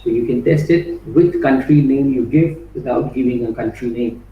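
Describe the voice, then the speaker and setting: A man's voice: medium pitch, moderate pace, recorded from a distance, phone-quality sound. Man teaching code in an echoey room. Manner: frequent "right?" and "you know" fillers; restarts and repeats words while typing. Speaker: workshop instructor. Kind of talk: live coding lesson. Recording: roomy, off-mic with faint background noise.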